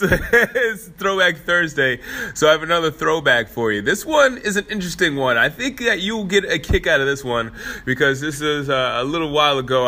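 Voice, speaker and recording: Congested man, podcast host, playful and chuckling, bright forceful tone. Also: a little high. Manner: loose and playful, chuckling between phrases. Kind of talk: casual podcast intro. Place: fitness studio.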